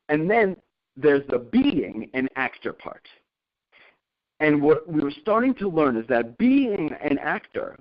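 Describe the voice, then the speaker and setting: A man presenting an informal motivational talk as a career coach, telling personal anecdotes, repeating points emphatically and checking in with listeners. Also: mid-range voice. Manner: conversational, checks in with listeners with tag questions. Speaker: acting career coach presenting a group call. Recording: dull, lo-fi conference call audio.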